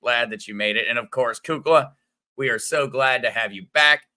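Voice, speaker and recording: A man with a bright, smooth voice hosts a relaxed livestream. He greets viewers by name in a friendly way and rambles a little between comments. His tone is crisp and clear. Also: mid-range voice, normal speed. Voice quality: smooth radio-like voice. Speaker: crypto livestream host. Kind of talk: casual livestream chat reading viewer comments.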